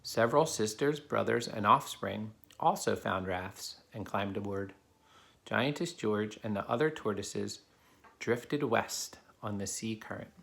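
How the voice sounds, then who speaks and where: A man's voice: on the low side, a little slow, a bit bright. A man reading a children's picture book aloud, explaining things simply as he talks to a child. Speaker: adult reading to a child helper. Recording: clear background noise.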